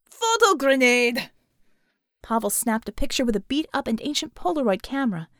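A woman narrating a scripted audiobook novel in a measured, expressive storytelling manner. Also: fairly high pitch, no room sound, clean studio sound.

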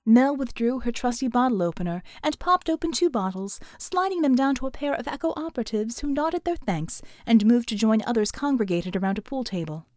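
A woman narrating a scripted audiobook novel in a measured, expressive storytelling manner. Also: fairly high pitch, no room sound, studio quality.

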